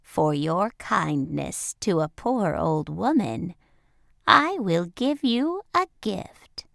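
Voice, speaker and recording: A woman voicing a gracious fairy who solemnly and deliberately grants magical gifts in a storybook. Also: on the low side, lively intonation, gravelly, a bit bright, no echo, studio quality, exaggerated voice. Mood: gentle, solemn.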